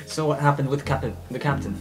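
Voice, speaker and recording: A man's voice: medium pitch, fast, low-quality recording. A man improvising in-character conversation as a tabletop game player, polite and a little hesitant. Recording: clear music behind voice.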